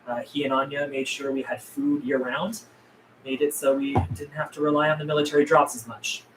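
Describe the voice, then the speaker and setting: A man's voice: medium pitch, flat delivery, fairly quick, lightly acted. A man reading the part of a guarded, earnest zombie apocalypse survivor at a script table read, terse in manner. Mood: matter-of-fact. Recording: roomy, distant mic, faint noise.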